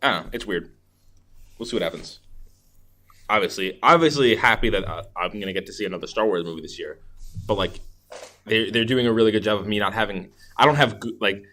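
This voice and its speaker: A man's voice: on the low side, fairly quick, pauses often, bright, forceful tone, a little hiss or hum. A man co-hosting a podcast, chatting about movies in an informal, jokey, conversational way. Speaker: podcast co-host. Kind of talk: casual movie chat.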